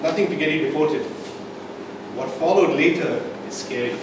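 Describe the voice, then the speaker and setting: A man telling a comic tall tale as a speech to a live audience, with theatrical pauses and an emphatic build-up. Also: a little high. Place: indoor meeting room, recorded from the audience. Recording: distant microphone, echoey room, background noise.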